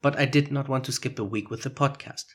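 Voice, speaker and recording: A man's voice: mid-range voice, quick pace, a bit bright, husky. A man with a foreign accent hosting a solo podcast, explaining his ideas in a reflective way. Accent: foreign accent in English. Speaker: podcast host.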